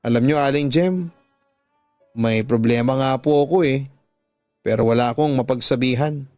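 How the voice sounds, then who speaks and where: A man's voice: medium pitch, normal speed, a little mellow, dry recording, faint music behind, lightly acted. Man voicing a troubled young woman, earnest and plaintive. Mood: worried, confiding. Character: troubled young live-in woman confiding her heartache.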